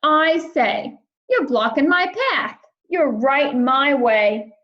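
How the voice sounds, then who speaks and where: A stubborn, puffed-up male creature from a picture book, voiced by a woman, speaks in a high register with slow, emphatic indignation. He is bossy and proud, and the sound is slightly dull and lo-fi. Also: expressive. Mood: indignant, bossy.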